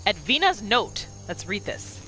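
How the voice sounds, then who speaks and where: A woman hosting a gaming roleplay series, giving expressive playthrough commentary and telling her character's story. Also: medium pitch, measured pace, slight background noise. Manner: expressive, exclamatory reactions.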